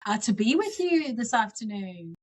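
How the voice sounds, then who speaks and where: A woman's voice: fairly high pitch, very animated, moderate pace. Earnest Samoan-born woman politician. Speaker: politician guest. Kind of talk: conversational interview answers.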